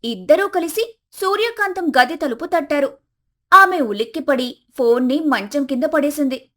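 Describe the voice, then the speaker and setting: A woman's voice: high-pitched, lively intonation, moderate pace, fairly bright tone. A woman narrating a scripted moral story.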